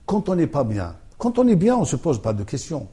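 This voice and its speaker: A male author answering questions in a thoughtful, halting way. His voice is dark and mellow, with a husky edge. He restarts sentences often and pads them with fillers. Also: on the high side, wildly swooping pitch. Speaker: author, interview guest. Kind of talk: hesitant conversational interview.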